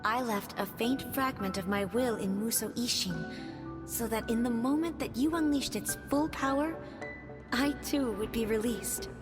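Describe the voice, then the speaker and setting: A woman voicing the gentle, wistful spirit of a departed goddess in a video game, tender and formal. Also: fairly high pitch, unhurried, lightly acted. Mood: tender, glad. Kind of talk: video game drama dialogue. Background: game music.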